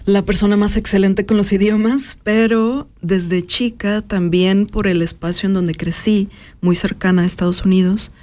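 A woman, reflecting on her life in an unhurried interview. Her voice is mellow and dark-toned, set at a medium pitch. She hesitates often and fills the gaps with soft "em" sounds. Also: steady tone. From Mexico. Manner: thoughtful, hesitant, many filler sounds. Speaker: interview guest, artist and educator. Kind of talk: personal reflective conversation.

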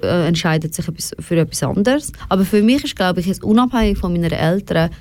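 A woman's voice: fairly low pitch, lively intonation, fast talker, darkish tone. A young woman with a Swiss German accent talking candidly and reflectively as an interview guest, dropping in English words. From Switzerland, of Afghan family. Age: young adult. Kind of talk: personal, reflective conversation.

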